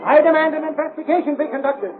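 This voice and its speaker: An actor playing an agitated citizen in a 1930s radio drama, making insistent, declaimed demands. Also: male voice, very high voice, fast. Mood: indignant. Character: agitated male citizen noisily demanding an investigation. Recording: phone-like old radio, faint music.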